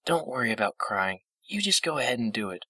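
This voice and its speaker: A man voicing a frail, gentle old female pegasus in a storybook reading, with a soft, whispery delivery. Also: a little high, big pitch swings, a little fast, no room sound, pitched above the performer's own voice, exaggerated voice. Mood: tender. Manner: tender, comforting.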